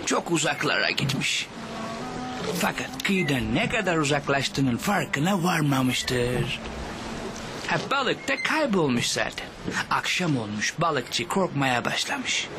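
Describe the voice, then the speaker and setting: An actor voicing a jolly older fisherman, telling children a moral tale in a cartoon. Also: a little high. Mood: storytelling. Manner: animated, unhurried storyteller's delivery. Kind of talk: animated cartoon storytelling to children. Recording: music behind the voice. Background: music.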